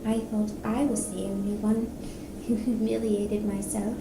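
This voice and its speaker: A woman's voice: medium pitch, level tone, unhurried, far from the mic, noisy background, close to an everyday voice. A woman reading a fantasy novel aloud as a proud, ashamed young man, in a subdued, stiff manner. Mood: humiliated. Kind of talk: audiobook-style novel reading. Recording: roomy echo, music behind.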